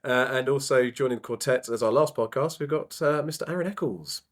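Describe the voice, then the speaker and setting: A man opens a podcast and introduces his guests in a friendly, informal way. His speech is halting, full of ums and restarts, at an ordinary middle pitch. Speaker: podcast host.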